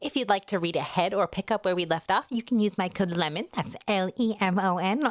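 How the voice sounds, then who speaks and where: A woman's voice: medium pitch, no room sound, studio quality. A woman hosting a casual readalong, narrating a novel expressively with chatty asides. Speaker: VTuber host reading a light novel aloud.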